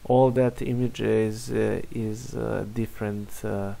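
Slow halting Serbian-accented man, gravelly. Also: lowish voice, flat delivery, dark tone. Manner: halting, many fillers and restarts. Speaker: software developer. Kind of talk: screen-recorded software demo.

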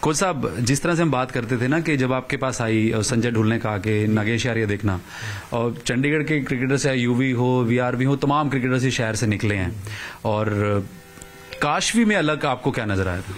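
Man, thirties, sports reporter, conversational interviewing, mid-pitched voice. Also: normal speed. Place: small living room at home, indoors. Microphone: handheld reporter microphone.

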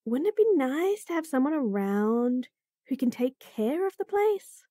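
A woman's voice: high-pitched, big pitch swings, unhurried, mellow, dry recording. Sassy bunny girl chef, woman acting it, bouncy singsongy voice, takes her time, super dry recording. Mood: teasing. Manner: sassy, bratty, teasing. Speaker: voice actor in a roleplay audio. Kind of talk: F4M roleplay audio, acted monologue.

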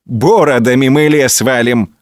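A man's voice: medium pitch, measured pace, no room sound, clean studio sound, voice pushed up, natural voice. A man voicing a rude, boastful older foreman of a lumber crew who mocks others in a folk tale. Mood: mocking.

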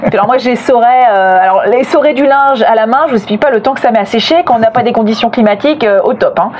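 A middle-aged woman chats to viewers at a quick clip. Her wording is loose and colloquial, as in a home vlog. Her clip-on mic picks up some background noise. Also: fairly high pitch. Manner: colloquial, chatty. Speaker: family travel vlogger, co-host. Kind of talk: casual chat to camera. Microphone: clip-on lapel mic.

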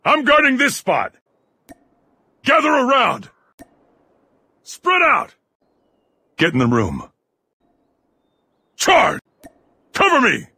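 An actor voicing a gruff, aggressive soldier giving terse, commanding squad callouts in a military video game, with a rough, husky voice. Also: male voice, extremely high pitch, wildly swooping pitch, slow pace, pauses often, sharp tone, dry recording, full character voice. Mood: urgent shouting. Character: gruff armored male soldier, aggressive squad mate in combat. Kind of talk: video game combat voice lines.

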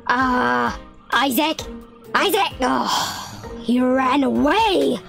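High-pitched villain thief acted out, whiny, defiant, groaning, slow, creaky, game roleplay. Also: lively intonation, soft background music, heavily acted. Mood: pained, annoyed. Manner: drawn-out groaning, halting. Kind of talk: improvised video game roleplay.

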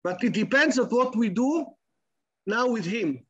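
A middle-aged man with an Israeli accent giving an online lecture in halting English, full of repeats and restarts, over a video call. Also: very high-pitched, expressive, a bit bright, breathy edge, dull audio. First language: Hebrew. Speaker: guest lecturer.

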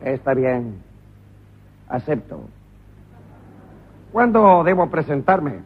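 A dim, hesitant police inspector. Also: male voice, a little high, sing-song, takes it slowly, full character voice. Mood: bewildered. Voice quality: husky, hesitant with many "eh"s. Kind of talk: 1990s radio comedy drama. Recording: muffled old radio broadcast.

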